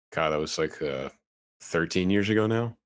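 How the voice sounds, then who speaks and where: A man in his late twenties reading questions and chatting easily with bandmates, laughing often and restarting his sentences. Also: sits low. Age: 20s. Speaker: group member leading a Q&A. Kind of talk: casual group chat.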